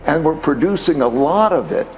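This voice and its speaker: Male interview guest, slightly high voice, deliberate and insistent, muffled recording over music. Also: expressive, a little mellow, a little hiss or hum. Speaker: financial commentator, interview guest. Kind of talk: explanatory interview talk.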